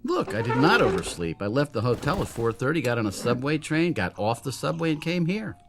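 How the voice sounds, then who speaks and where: An actor playing an affable, slightly uneasy young suitor in a radio drama, by turns bantering and earnest. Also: male voice, medium pitch, big pitch swings, a little fast, very noisy. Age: young adult. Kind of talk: radio drama acting, naturalistic dialogue.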